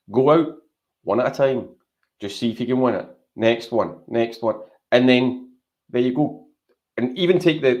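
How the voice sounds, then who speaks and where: A man with a Scottish accent talking through his opinions as a guest on a casual football podcast, measured and teasing. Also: on the low side, darkish tone. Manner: measured, thinks aloud, teasing. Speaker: podcast panelist.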